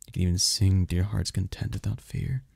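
Man voicing a deep, gravelly, seductive demon prince. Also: level tone, a little mellow, no room sound, clean studio sound, heavily acted. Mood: seductive, possessive. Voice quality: husky, gravelly low voice. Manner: regal, slow-savouring, flirtatious. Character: seductive, possessive male demon prince, commanding and doting. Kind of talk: ASMR roleplay monologue.

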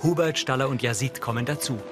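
A man narrates a TV series as audio description. His delivery is neutral and factual, at an average male pitch. Music is clearly audible beneath his voice. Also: fast talker. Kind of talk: scripted scene narration, present tense.

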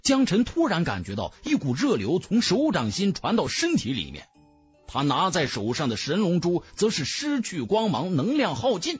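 A man reads a fantasy novel as dramatic scripted narration. His voice is a little high and moves very widely in pitch. Faint music sits behind him. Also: huge pitch swings, normal speed, breathy edge. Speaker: audiobook narrator.

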